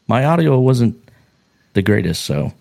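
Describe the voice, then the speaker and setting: A man's voice: medium pitch, big pitch swings, measured pace, creaky, darkish tone. A man hosting a casual podcast, joking around and chatting easily with his co-host. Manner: joking, playful. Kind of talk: casual chat.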